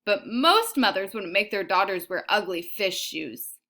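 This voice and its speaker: A woman's voice: medium pitch, expressive, measured pace, pushed and bright. A woman reading a storybook as a stubborn, sulky, dramatic little girl who wails and bellows indignantly.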